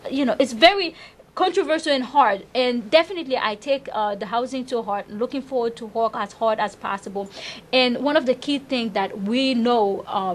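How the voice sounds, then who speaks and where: A woman's voice: fairly high pitch, low-quality recording. A middle-aged woman with a Haitian accent, a councilmember being interviewed, talking with enthusiasm and often repeating words as she searches for them. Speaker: councilmember guest. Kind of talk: interview conversation.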